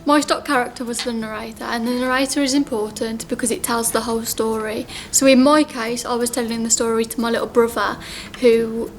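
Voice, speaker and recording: A woman's voice: a little high, steady tone, a little fast, soft background music. A teenage girl, a drama student, informally explaining her part in a show.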